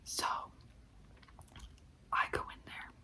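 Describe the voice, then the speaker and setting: A woman's voice: slow, bright, forceful tone. Young woman whispering a storytime. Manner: whispering throughout, frequent "like" fillers; exasperated venting. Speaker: part-time food service worker.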